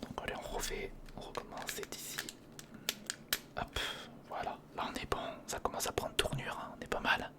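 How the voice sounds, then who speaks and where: An ASMR creator whispering informal, step-by-step commentary to the viewer while building something by hand, close to the microphone. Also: moderate pace. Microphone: close recorder or studio microphone.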